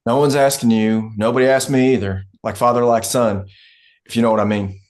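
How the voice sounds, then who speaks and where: A gruff middle-aged father played by a man at a table read, worn down and drily wry. His pitch sits in the middle for a man. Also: fairly even intonation, a little fast, a little mellow, lightly acted. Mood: irritated.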